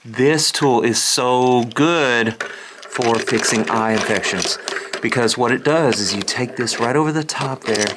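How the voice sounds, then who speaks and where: A man playing a slightly deranged, scatterbrained doctor in a soft-spoken ASMR roleplay, halting, repetitive and insistent. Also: medium pitch, noisy background, close to an everyday voice. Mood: earnest, reassuring. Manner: halting, repetitive, distracted muttering.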